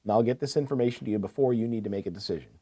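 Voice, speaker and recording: A man's voice: lowish voice, rapid-fire, dark tone, slightly rough. A man playing a courteous, attentive equipment salesman in a scripted business phone call, asking probing questions. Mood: businesslike, helpful. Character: courteous, eager male heavy-equipment salesman.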